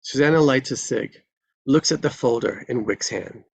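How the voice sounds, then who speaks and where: Husky narrator reading stage directions. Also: medium pitch, moderate pace. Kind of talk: scripted screenplay narration.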